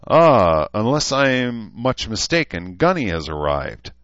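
A man voicing a thoughtful, principled leader who speaks in a measured, earnest way. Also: on the low side, very animated, a bit bright, no echo, dull audio, pitched above the performer's own voice.

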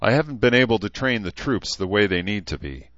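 A man voicing a thoughtful, principled leader who speaks in a measured, earnest way. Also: fairly low pitch, animated, fairly bright tone, no room sound, dull audio, pitched above the performer's own voice.

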